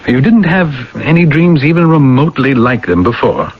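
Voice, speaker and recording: An actor playing an analytical, fatherly psychiatrist who questions insistently and deliberately, in 1980s radio drama style. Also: mid-range voice, very animated. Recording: dull, hissy old radio broadcast recording.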